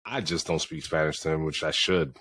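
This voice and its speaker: A young father co-hosting a podcast in casual chat, swinging from low muttering to sudden shouts and swearing a lot. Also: a little fast, slightly rough. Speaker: podcast co-host.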